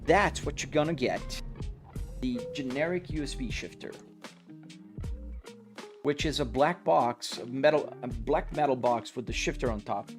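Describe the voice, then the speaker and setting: A man's voice: medium pitch, unhurried, pauses often, music in the background. A man in his forties chatting casually on a live stream while driving, reading out and answering viewers' questions about his gear. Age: 40s. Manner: casual, talks to chat, explains his setup.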